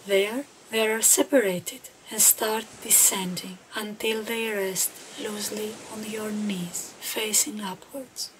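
Slow-paced woman guiding a meditation. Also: medium pitch, fairly even intonation. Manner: gentle, unhurried, deliberate phrasing with pauses. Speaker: meditation guide. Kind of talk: guided meditation narration. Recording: clearly noisy, ambient background sound.

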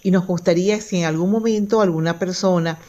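A woman's voice: on the low side, fairly even intonation, a little fast, mellow, muffled recording. A woman hosting a radio interview in a polite, formal manner. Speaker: radio host.

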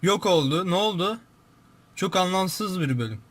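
A man's voice: high-pitched, lively intonation, normal speed, dry recording. A young man giving casual, informal, exclamatory commentary as a YouTube host, describing what he sees. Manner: informal, exclamatory, narrates what appears on screen.

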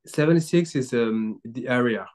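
A man's voice: medium pitch, moderate pace, darkish tone. French-accented man, 30s, video call guest, hesitant, restarts a lot. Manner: hesitant, searching for words. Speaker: guest, baseball player. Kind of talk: casual video-call interview. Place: small indoor room.

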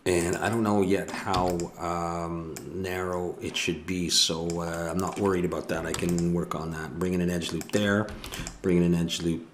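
Man with an ottawa valley accent, very low voice, muttering to himself, faint hiss behind. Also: flat delivery, moderate pace. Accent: Ottawa Valley Canadian. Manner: musing, self-correcting, talks to himself. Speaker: 3D modeling tutor. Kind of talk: thinking aloud while working. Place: home room at a desk.

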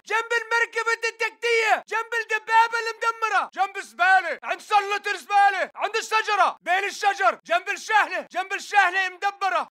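An aggressive militant fighter in a war video game, with a Levantine Arabic accent. His voice is extremely bright and forceful, pushed very high for a man. He fires off clipped, alert combat callouts at a very rapid pace. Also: heavily acted. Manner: urgent, clipped barking delivery.

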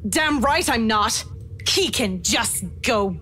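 An actress voicing a scared, sharp-tongued young woman in a visual novel game, snappy and incredulous. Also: on the high side, animated, slow pace, slight background noise, exaggerated voice. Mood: alarmed, indignant. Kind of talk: dramatic visual novel voice acting.

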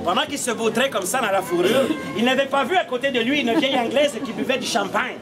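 A man's voice: extremely high pitch, fast, music in the background. A man in his forties with a Québécois accent, telling comic stories theatrically to a street audience. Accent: Québécois French. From Quebec, Canada. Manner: theatrical, projecting to a crowd. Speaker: street puppeteer and comedian. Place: outdoors in a town street. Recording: noisy open street, loud crowd.

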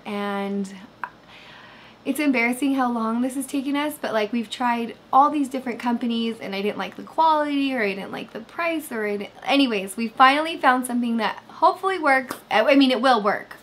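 Woman, 30s, host of a bedroom livestream, voice a bit hoarse, higher pitch, faint room hum, chatty and loose. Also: moderate pace. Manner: chatty, reads chat aloud, many fillers. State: slightly hoarse. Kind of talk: casual livestream chat. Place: bedroom, sitting in bed. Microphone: webcam framing.

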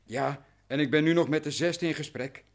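Actor as anxious merchant, slightly high-pitched. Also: male voice, normal speed, lightly acted. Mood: weary, explanatory. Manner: explanatory, formal, careful. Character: cautious, anxious, worn-down male grain merchant. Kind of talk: radio drama acting.

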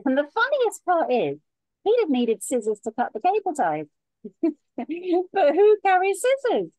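A woman's voice: sits high, huge pitch swings, unhurried, a little mellow, no echo, exaggerated voice. A woman on a video call reading the part of a polite but mischievous young woman, speaking wryly. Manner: wry, playful.

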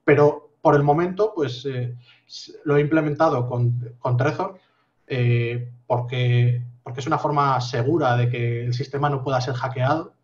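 A man in his thirties explaining his project on a video call from a home office, measured and full of fillers. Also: mid-range voice, steady tone, highs cut off. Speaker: guest project founder. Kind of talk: casual video call interview.

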